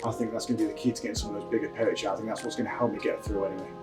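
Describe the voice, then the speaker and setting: Man, from South Yorkshire, quick talker, upbeat, distant mic, faint music behind. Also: on the low side, fairly even intonation, a little mellow. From South Yorkshire, England. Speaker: angler competitor. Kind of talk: interview answers.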